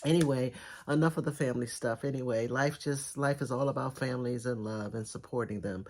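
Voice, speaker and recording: A middle-aged woman with a raspy, congested voice, chatting enthusiastically through a thrift haul video. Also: very deep voice, a little fast, a little mellow. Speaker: thrift reseller vlogger. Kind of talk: casual haul video chat.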